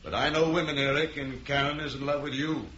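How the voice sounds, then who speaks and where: An actor playing a wealthy, smooth-talking investor with a husky voice in an old radio drama, casual and placating. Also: male voice, fairly high pitch, steady tone, fairly quick, sharp tone, a little hiss or hum. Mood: reassuring, smooth. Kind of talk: mid-century radio drama acting. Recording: muffled old radio recording.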